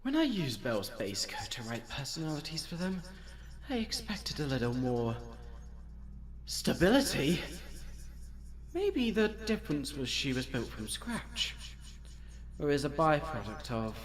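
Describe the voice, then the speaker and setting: A man's voice: fairly high pitch, very animated, a little slow, long pauses. A man voicing a scheming mad-scientist platypus in a comic dub, pompous, articulate and condescending in a theatrical way. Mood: musing, frustrated.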